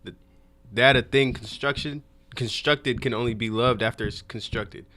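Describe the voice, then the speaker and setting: Male co-host, mid pitch, slow, measured reading, laid-back. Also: steady tone, pushed and bright, slight background noise. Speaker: co-host reading the quote of the day. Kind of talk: casual chat, reading quote.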